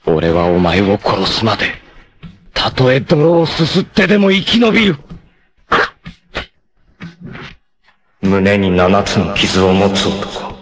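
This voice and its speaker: A man's voice: lowish voice, sing-song, measured pace. A man playing a proud, vengeful martial-arts warrior in a 1980s anime, cool, ruthless and theatrical in delivery. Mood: grim resolve. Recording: noisy old anime clip compilation.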